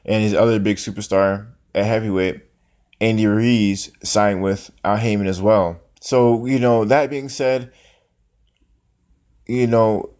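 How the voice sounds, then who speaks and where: A man's voice: lowish voice, darkish tone. A man talking casually and off the cuff as a sports vlogger, opinionated and conversational. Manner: conversational, opinionated, peppered with "man" and "you know". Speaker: boxing commentary vlogger.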